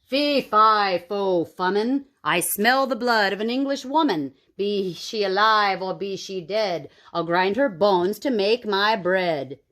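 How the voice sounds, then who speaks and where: A greedy giant from a children's storybook, acted out by a woman. He is gruff and menacing, drawing out his words in a loud, booming roar. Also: lively intonation, slow pace, few pauses.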